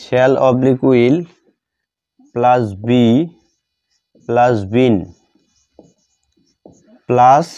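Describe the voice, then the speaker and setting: A man with a Bengali accent teaching a grammar lesson step by step, halting and repeating phrases in short fragments as he writes. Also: medium pitch, completely flat delivery, warm tone, clean tone. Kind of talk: step-by-step grammar lesson, spoken while writing. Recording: dull lo-fi sound.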